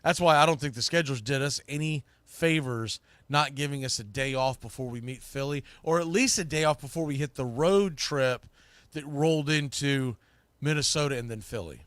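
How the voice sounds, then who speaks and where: A man's voice: mid-range voice, moderate pace, a bit bright, no room sound. A man hosting a sports podcast, giving emphatic, opinionated analysis and repeating phrases for stress. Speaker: sports podcast host, play-by-play broadcaster.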